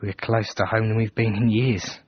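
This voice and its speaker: An actor playing a wistful, devoted English soldier reciting a letter from the front, husky, reflective and measured, in a radio drama. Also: male voice, fairly low pitch, level tone, no room sound, highs cut off.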